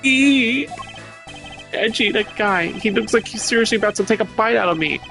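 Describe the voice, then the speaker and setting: A man voicing a whiny, excitable, dim young murder suspect from a video game, plaintive and over the top, with music underneath. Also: high voice, moderate pace, creaky, pitched above the performer's own voice. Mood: alarmed whining. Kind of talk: video game character dub.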